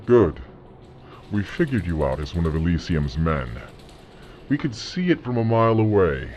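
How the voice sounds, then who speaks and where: Very deep, menacing crime boss. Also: male voice, big pitch swings, darkish tone, slight background noise. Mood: smug threat. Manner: slow, deliberate, threatening. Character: menacing male gang leader, calm, commanding interrogator. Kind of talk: audio drama acting.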